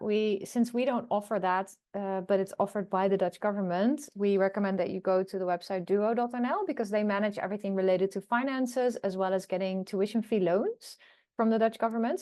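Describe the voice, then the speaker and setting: A woman's voice: mid-range voice, a little mellow. A woman hosting an online college information day over a video call, relaying questions and passing them to staff and students. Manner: friendly, relays questions and hands them to others. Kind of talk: moderating an online Q&A.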